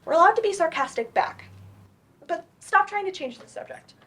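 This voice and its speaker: A woman reading the part of a patient, earnest therapist in a staged play, reassuring and gently firm. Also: extremely high pitch, lively intonation, full character voice. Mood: encouraging, gently firm. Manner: reassuring, measured. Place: library event room, staged reading.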